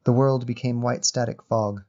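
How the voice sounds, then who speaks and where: A man narrates a literary short story at a medium pitch. His delivery is measured and descriptive, clearly read from a script. The recording sounds slightly dull. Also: steady tone, a little mellow.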